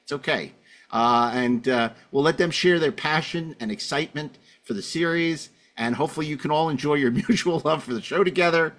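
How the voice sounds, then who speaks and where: A man's voice: a little high, fairly quick, fairly bright tone. A middle-aged man hosting a podcast, introducing things casually in a rambling, wry, self-deprecating way. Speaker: podcast host.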